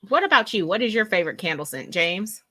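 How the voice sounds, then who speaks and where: A woman, co-hosting a casual live chat in an animated, chatty way. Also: medium pitch, fast, no echo, clean studio sound. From West Texas. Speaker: co-host.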